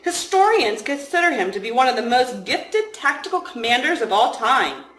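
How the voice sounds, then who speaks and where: A woman voicing a measured, scholarly male FBI agent with quiet authority in a story reading. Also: high-pitched, very animated, voice pushed up. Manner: measured, matter-of-fact. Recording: roomy, echoing room sound.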